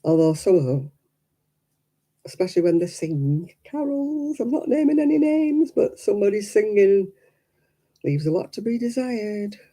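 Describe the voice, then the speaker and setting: A British woman with a croaky, hoarse voice chats casually to live viewers in a wry, teasing way. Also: medium pitch, sing-song, moderate pace, mellow. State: hoarse after illness. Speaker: livestreaming crafts vlogger.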